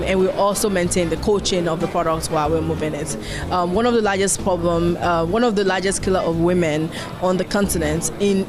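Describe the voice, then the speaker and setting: A man earnestly explains his startup's work in plain words. Music plays clearly under the voice. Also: high-pitched. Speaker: startup founder. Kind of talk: interview pitch.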